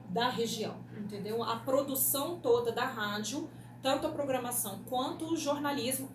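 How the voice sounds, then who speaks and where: Echoey, slightly high-voiced Brazilian woman. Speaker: radio producer being interviewed. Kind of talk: explanatory interview talk. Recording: echoey room with clear background noise.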